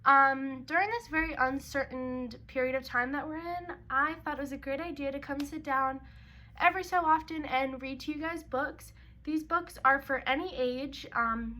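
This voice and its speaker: A woman's voice: high-pitched, pushed and bright. A young woman hosting a read-aloud for young children, speaking in an informal, chatty way. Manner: informal, chatty, addressing kids as "you guys". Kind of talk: casual intro talk and storybook read-aloud for young kids.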